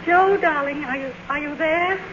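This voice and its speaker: An actress playing an aging silent film queen in a radio drama, grand, fragile and possessive, with halting theatrical delivery. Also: sits high, natural voice. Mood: anxious, coy. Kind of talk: 1950s radio drama acting. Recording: noisy, dull old radio broadcast recording.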